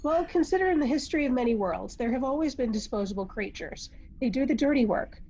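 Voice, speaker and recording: A woman acts out a calm, wise confidante who makes her points gently and deliberately. Her voice is fairly high yet husky, recorded a little muffled. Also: heavily acted. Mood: quietly pointed. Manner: measured, pointed, unhurried. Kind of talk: amateur script table read. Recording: dull, muffled lo-fi microphone sound.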